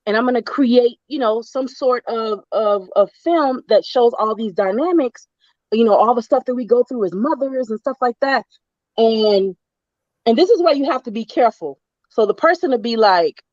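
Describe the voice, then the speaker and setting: A woman's voice: a little high, dry recording, muffled recording. A middle-aged woman from Florida, a writer guest in a casual online interview, talking in loose rambling runs with fillers and restarts. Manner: chatty, many restarts and fillers, laughs often. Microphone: video call.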